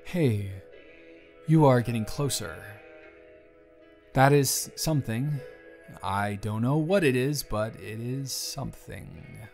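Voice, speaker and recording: A man's voice: mid-range voice, slow pace, gravelly, pauses often, a little mellow. A man acting in an intimate audio roleplay as an earnest, conflicted boss, talking in a confiding, hesitant, conversational way. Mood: candid, teasing. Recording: music behind the voice.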